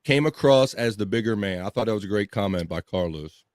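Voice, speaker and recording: A man's voice: fairly low pitch, lively intonation, fairly quick, dry recording, studio quality. A man co-hosting a live podcast in casual chat, reading out viewers' comments.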